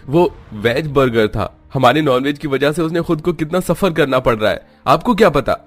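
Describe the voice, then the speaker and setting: A man's voice: a little high, lively intonation, moderate pace, soft background music. A man voicing a protective young husband in a cartoon family drama. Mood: indignant. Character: young husband, protective of his vegetarian wife.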